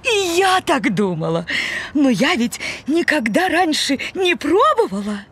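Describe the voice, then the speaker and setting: A woman's voice: high-pitched, big pitch swings, unhurried, fairly bright tone, full character voice. A woman voicing a timid, good-natured cartoon cow who speaks politely and gets easily flustered. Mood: surprised, tender. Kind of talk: cartoon voice acting.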